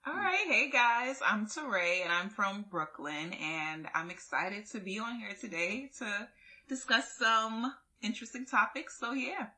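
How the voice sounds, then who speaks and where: A woman's voice: mid-range voice, unhurried. Playful woman in her twenties. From Queens. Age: 20s. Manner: playful and expressive. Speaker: panelist. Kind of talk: casual group chat.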